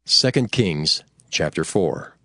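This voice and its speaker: A man's voice: low voice, expressive, slow, bright, forceful tone. A man giving solemn scripted narration for a dramatized audio Bible. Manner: solemn storytelling.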